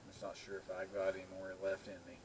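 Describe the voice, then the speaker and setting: A man's voice: low voice, monotone, quick pace, noisy background. A man chatting casually with joking banter as co-host of an amateur video. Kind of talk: casual chat.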